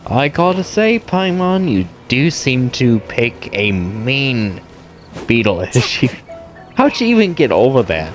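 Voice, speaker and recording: A man's voice: mid-range voice, big pitch swings, takes it slowly, faint music behind. A man giving casual, joking gameplay commentary, with playful teasing asides. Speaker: gamer reacting to a story quest.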